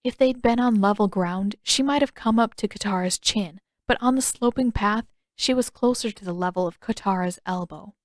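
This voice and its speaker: Woman reading a story audiobook style, mid pitch, super dry recording. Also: normal speed, hardly any pauses, dry recording. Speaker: audiobook narrator. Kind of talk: scripted fiction narration.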